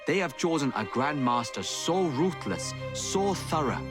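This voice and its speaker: A grave assassin's pleading letter. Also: male voice, a little high, very animated, a little slow, over music. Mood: grave urgent. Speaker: assassin writing a letter, narrating. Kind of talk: dramatic game voice-over. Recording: voice-over under loud score.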